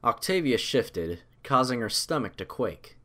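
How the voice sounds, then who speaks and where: A man narrates a story from a script. His voice sits at a middle pitch, and he delivers the prose as a storyteller between the characters' lines. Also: big pitch swings, a little slow, pushed and bright.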